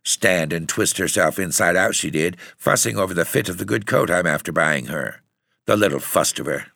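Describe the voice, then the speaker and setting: A man voicing an excitable, scolding mother in an audiobook story, exclaiming. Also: deep voice, normal speed, gravelly, no room sound, clean studio sound, heavily acted. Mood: irritated.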